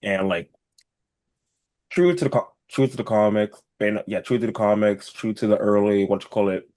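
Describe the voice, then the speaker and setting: Halting, lowish-voiced man on a podcast. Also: darkish tone. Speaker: podcast co-host. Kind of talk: casual podcast chat.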